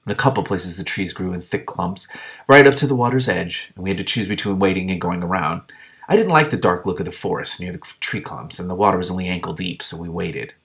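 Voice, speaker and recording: A man reading a novel aloud in expressive first-person storybook narration, with casual asides. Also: sits low, fast.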